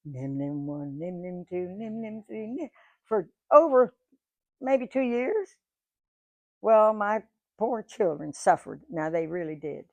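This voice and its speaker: A woman's voice: lowish voice, animated, slow pace, dry recording. A woman in her nineties telling folksy stories of her life to a live audience, chatting and acting out what people said. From Georgia, USA. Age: 90s. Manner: folksy, chatty storyteller who acts out quoted dialogue. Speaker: guest speaker. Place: museum event room.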